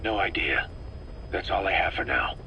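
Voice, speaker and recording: Tense, gruff military commander. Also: male voice, low voice, expressive, normal speed, sharp tone, exaggerated voice, poor audio. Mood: defensive. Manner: curt, guarded, terse. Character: gruff military commander, defensive, burdened, guarded. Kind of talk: scripted video game dialogue over radio. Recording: radio tape with background noise.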